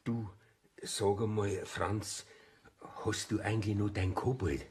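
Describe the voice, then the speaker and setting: An actor playing an earnest, insistent master plumber with a Bavarian accent and a husky voice, coaxing in a radio play. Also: male voice, on the low side, steady tone, takes it slowly, darkish tone, exaggerated voice. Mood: hesitant, curious. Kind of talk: German radio play dialogue.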